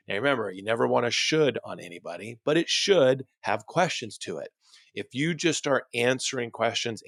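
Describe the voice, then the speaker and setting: A male coach delivers an instructional motivational talk at a medium pitch. He stresses his key points and puts questions to the listener. The sound is dry, with very little room in it. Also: gravelly, fairly bright tone, dry recording. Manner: instructional, emphatic, rhetorical questions. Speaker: dental practice coach and host.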